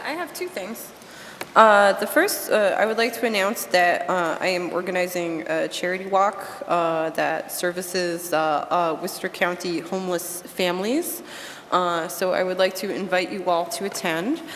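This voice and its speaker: A woman on a school committee, making announcements at a meeting while fighting a cold and losing her voice, halting with many fillers. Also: lowish voice, fairly bright tone. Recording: echoey meeting hall with faint noise.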